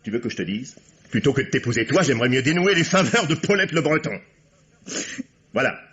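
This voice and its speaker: An actor playing a cold, sarcastic lover, cutting and theatrical, in a French vintage radio drama. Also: male voice, medium pitch, lively intonation, fairly quick, a bit bright, lightly acted. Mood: mocking. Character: cold, mocking, cruel male lover eager to leave. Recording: dull vintage radio recording.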